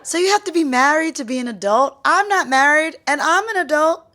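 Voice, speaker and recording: A woman's voice: high-pitched, expressive, fairly quick, noisy background. A woman playing a clueless, blunt character in her early twenties in a podcast sitcom, with a naive way of talking. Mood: puzzled. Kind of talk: sitcom acting.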